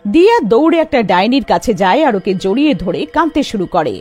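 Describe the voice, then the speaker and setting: A woman's voice: mid-range voice, very animated, brisk, a little mellow, breathy edge, faint music behind. A woman giving a scripted narration of a horror fairy tale.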